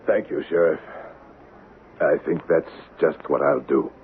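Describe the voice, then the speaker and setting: An actor playing a cool, sardonic, menacing avenger in a 1970s western radio drama, controlled and American-accented. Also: male voice, extremely low pitch, steady tone, unhurried. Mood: cool, probing. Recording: muffled, hissy vintage radio recording.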